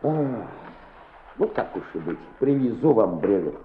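Muffled vintage radio drama: folksy, blustering state-farm chief, hearty and hot-headed, swinging widely in pitch. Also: male voice, big pitch swings, a little slow. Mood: obliging, bashful. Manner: folksy, blustery, repeats his words. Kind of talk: Soviet-era radio play acting. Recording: echoey, muffled old radio recording.

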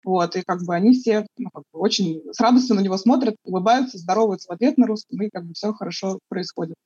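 A woman from Russia answering interview questions in a thoughtful, halting way, with many fillers and restarts. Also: medium pitch, mellow, slightly rough, no echo, highs cut off.

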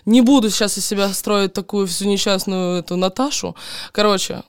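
A woman's voice: medium pitch, normal speed, clean tone, dry recording, studio quality. A woman from Ukraine, a podcast guest, talking openly in casual chat with many fillers and self-corrections. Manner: open, chatty, full of fillers and self-corrections.

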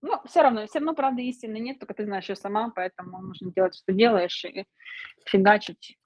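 A woman speaking as a streamer guest in a relaxed interview, chatty, laughing often and filling pauses with hesitations. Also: mid-range voice, no room sound. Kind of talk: casual interview chat.

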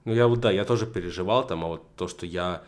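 A man's voice: sits low, quick pace. A young man in a casual conversation, explaining his points in a structured way with frequent fillers. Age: young adult. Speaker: podcast guest.

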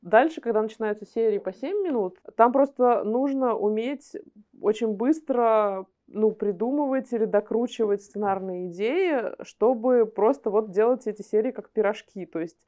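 A woman's voice: on the high side, moderate pace, mellow. A woman explaining her work informally as an interview guest, wordy and often rephrasing as she goes. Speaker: animation screenwriter and script editor, interview guest.

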